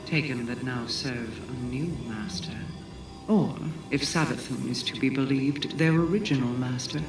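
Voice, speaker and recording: A woman's voice: a bass voice, moderate pace. A woman playing an imperious, brooding queen delivering solemn warnings in a dramatic game monologue. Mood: solemn, ominous. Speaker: video game character. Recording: echoey, distant voice with music.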